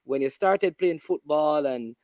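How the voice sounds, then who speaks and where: A man with a notably high voice hosts a casual sports interview. He asks eager questions with quick interjections. The sound is dry and close. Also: darkish tone, no echo. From Jamaica. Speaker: show host.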